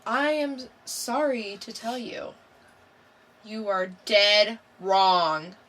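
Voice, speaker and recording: A woman's voice: mid-range voice, expressive, very slow, fairly bright tone, slight background noise. A woman co-hosting a podcast, chatting casually and informally in a blunt way. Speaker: podcast co-host. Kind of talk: casual quiz banter.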